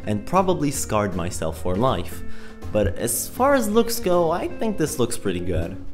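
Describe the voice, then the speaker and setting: A man's voice: medium pitch, wildly swooping pitch, a little slow, soft background music. A young man hosting a scripted vlog about his coding project, talking into a close handheld mic in a self-deprecating, dryly joking way. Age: young adult. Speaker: video creator, host. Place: home room, indoors, mostly. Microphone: handheld microphone held close.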